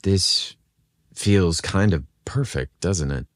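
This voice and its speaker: A man voicing a low, flirtatious diner owner. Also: lively intonation, takes it slowly, no echo, studio quality. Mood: tender. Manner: smooth, murmured flirting. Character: enigmatic, charismatic, appreciative male diner owner. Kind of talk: acted dialogue in romantic audio story.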